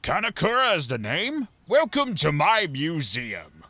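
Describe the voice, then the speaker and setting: Gushing, greedy museum owner, bright-voiced. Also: male voice, fairly high pitch, wildly swooping pitch, measured pace, no room sound, exaggerated voice. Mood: oily, welcoming. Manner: effusive, wheedling. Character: gushing, greedy, self-centred male museum owner, scheming. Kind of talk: theatrical audio drama acting.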